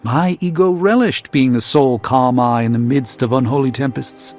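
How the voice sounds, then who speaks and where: An actor playing a proud, weary middle-aged sorcerer in a video game, speaking in measured, erudite, dryly wry phrases. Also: male voice, mid-range voice, lightly acted. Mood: reflective, humbled. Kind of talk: theatrical video game character dialogue. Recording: muffled game audio under music.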